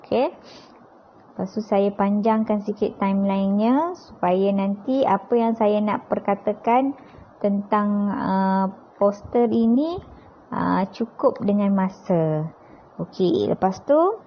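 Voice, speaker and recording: A woman with a Malaysian accent giving an informal step-by-step app tutorial, conversational and often checking in with tag questions. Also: mid-range voice. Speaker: tutorial presenter, teacher. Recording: dull, lo-fi sound.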